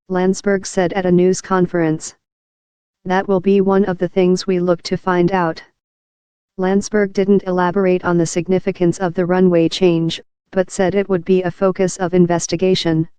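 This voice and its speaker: Smooth, very clear female news reader. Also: on the low side, dark tone, no echo. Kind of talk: scripted news narration.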